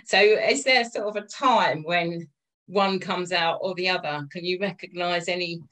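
A woman leading a group discussion over a video call, asking gentle, probing questions. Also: on the low side, normal speed, poor audio. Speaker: discussion leader. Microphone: video call webcam.

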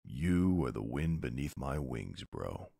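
A flirty gym bro with a booming, gravelly bass voice, played by a man, talking slowly and teasingly. He drawls through his lines in a dry, close-up ASMR roleplay. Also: big pitch swings, mellow, no echo, heavily acted. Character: flirty laid-back adult male gym bro.

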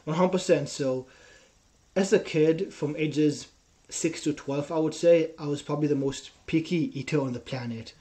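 Israeli man, dark mellow voice. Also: fairly high pitch, moderate pace. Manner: earnest, storytelling with frequent "right?" tags. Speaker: guest, personal trainer. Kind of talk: casual interview talk. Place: small room at home. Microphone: headset.

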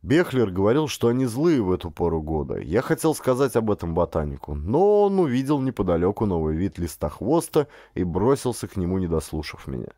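Expressive low-voiced man narrating an adventure audiobook. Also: a little hiss or hum. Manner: expressive, deliberate storytelling. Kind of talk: dramatic scripted narration of an adventure novel.

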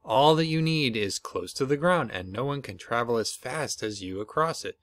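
A man's voice: medium pitch, expressive, normal speed, fairly bright tone, no room sound, pitched above the performer's own voice, lightly acted. A man voicing a kindly male creator spirit, reassuring, in a children's storybook.

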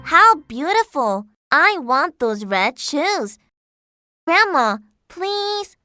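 A woman's voice: sits high, sing-song, very slow, bright, forceful tone, soft background music, heavily acted. A woman voicing a sweet young girl in a children's English-lesson cartoon, enunciating carefully. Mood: eager.

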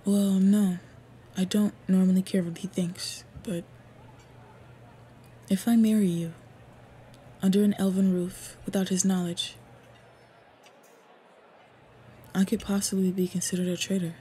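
Over noticeable background ambience comes a woman acting as a protective orc warrior woman devoted to her lover. Her voice is warm and relaxed at a middle pitch. She speaks softly and earnestly, often breathy, in an intimate fantasy roleplay style. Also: normal speed, lightly acted. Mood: anxious, troubled. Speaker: roleplay audio voice actor. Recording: clear background ambient noise. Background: ambience sound effects.

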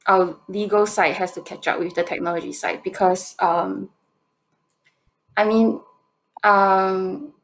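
A woman in her twenties with a Singaporean accent, answering questions on a video call in halting, hesitant phrases. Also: fairly low pitch, low-quality recording. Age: 20s. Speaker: competition presenter answering judges' questions. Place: indoor room, on a video call.

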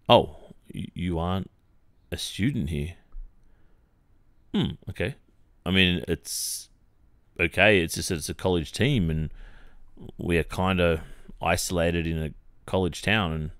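An Australian man playing an awkward, polite young college football captain in a boyfriend roleplay, speaking in a hesitant, halting way. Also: low-pitched, no echo. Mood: curious, friendly. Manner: hesitant, halting, with many pauses and soft awkward filler. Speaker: ASMR roleplay performer. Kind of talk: ASMR boyfriend roleplay, one-sided improvised-sounding dialogue.

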